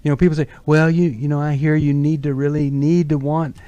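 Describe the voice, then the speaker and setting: A middle-aged man hosting a call-in radio talk show, speaking earnestly and emphatically with deliberate pauses. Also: on the high side, little pitch movement, mellow, smooth, dry recording, muffled recording. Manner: earnest, emphatic, pausing for effect.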